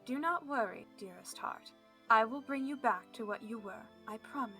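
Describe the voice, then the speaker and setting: A woman voicing a cruel, domineering queen mother, speaking her lines low, stern and clipped. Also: moderate pace, pushed and bright, faint music behind. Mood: falsely tender. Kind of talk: reading game lines aloud.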